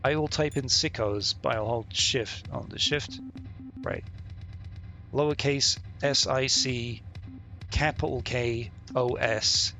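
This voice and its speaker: Gravelly, bright-voiced man streaming a game. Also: mid-range voice, steady tone, slow. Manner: muttering, thinking aloud, sweary. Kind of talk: casual livestream commentary, reading game text aloud. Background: game music.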